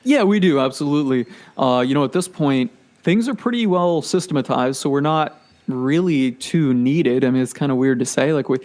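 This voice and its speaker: A man in his late twenties, a podcast guest chatting casually and telling stories with animated energy and easy laughter. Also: medium pitch, normal speed. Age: 20s. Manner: animated storyteller, laughs easily. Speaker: podcast guest, business owner. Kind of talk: casual interview chat.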